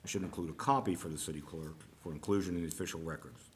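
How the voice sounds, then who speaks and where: A man acting as mayor, chairing a city council meeting in a council chamber, brisk and plain-spoken with frequent fillers. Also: low-pitched, a little hiss or hum. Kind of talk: formal meeting chair with announcements.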